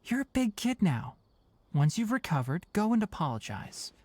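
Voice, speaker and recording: A voice actor playing a calm, brisk young male forest ranger and healer who is caring but firm. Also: sits high. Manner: brisk, level-headed and caring. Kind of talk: video game voice acting.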